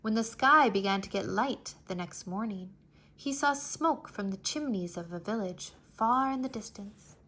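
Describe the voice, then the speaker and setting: Bright, very animated woman storyteller. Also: mid-range voice, moderate pace. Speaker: children's read-aloud narrator. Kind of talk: expressive storybook reading.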